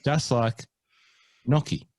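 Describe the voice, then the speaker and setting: A man's voice: medium pitch, fairly even intonation, slow, darkish tone, dry recording, studio quality. A man co-hosting a golf podcast in casual chat, playful and mock-earnest, hamming up foreign pronunciations. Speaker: podcast co-host.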